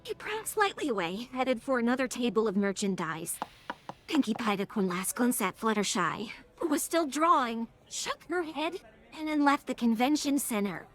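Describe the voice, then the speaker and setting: A woman's voice: on the high side, big pitch swings, moderate pace, fairly bright tone. A synthetic text-to-speech woman's voice narrating a scripted story. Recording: faint background noise.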